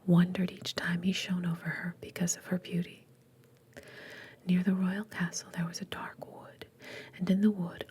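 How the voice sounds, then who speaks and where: A woman reading fairy tales aloud in a breathy, unvoiced ASMR whisper, slow and deliberate. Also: on the low side, a little mellow. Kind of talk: whispered ASMR storytelling with asides.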